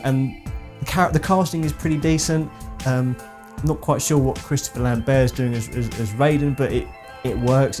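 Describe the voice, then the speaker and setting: Guy doing a casual gaming vlog, kinda rambly, mid pitched voice, guitar music playing behind him. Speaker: video game channel host. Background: background music with guitar.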